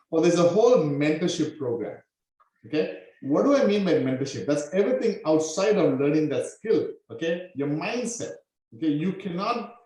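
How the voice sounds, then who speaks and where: Sri lankan-born guy coaching a live webinar, pumped up, says okay a lot, super echoey room. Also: a little high. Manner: energetic, peppers talk with "okay?". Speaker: online business coach.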